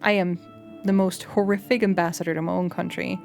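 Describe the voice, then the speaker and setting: A woman's voice: fairly low pitch, steady tone, a little fast, vocal fry, darkish tone, faint music behind. A young Danish woman with a light Danish-tinged English accent, a streamer rambling casually with lots of fillers and restarts. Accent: light Danish, mixed English accents. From Denmark. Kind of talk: casual chat with live chat.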